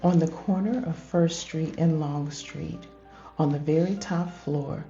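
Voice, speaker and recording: A woman's voice: sits low, measured pace, lo-fi sound, music in the background. An older woman reading a picture book aloud expressively, in a grandmotherly manner, addressing young children and asking them questions.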